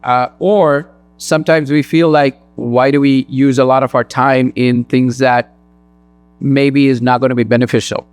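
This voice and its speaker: A man from Sri Lanka speaks as a guest, earnestly and in quick bursts. His voice sits at a middle pitch and sounds dry and close, with no room sound. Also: level tone, smooth, no room sound, faint music behind. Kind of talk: personal talk at a community event. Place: mosque.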